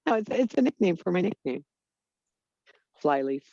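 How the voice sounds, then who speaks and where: A woman's voice: fairly low pitch, sing-song, normal speed, warm tone, dry recording, lo-fi sound. A woman talking earnestly in a casual group chat, rambling with lots of fillers. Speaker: Bible study group member.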